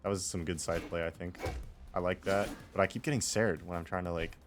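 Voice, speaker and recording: A man's voice: sits low, fairly even intonation, brisk, over music. A man giving casual, off-the-cuff commentary on his own game replay in a rambling, wry and self-deprecating way.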